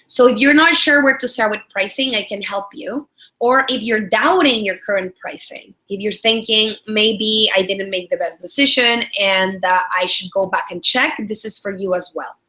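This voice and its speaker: A woman's voice: mid-range voice, normal speed, pushed and bright, low-quality recording. A woman in her thirties from El Salvador with a Salvadoran Spanish accent, teaching a business webinar in a friendly way, repeating words and using many fillers. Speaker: product strategist and trainer. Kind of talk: webinar training.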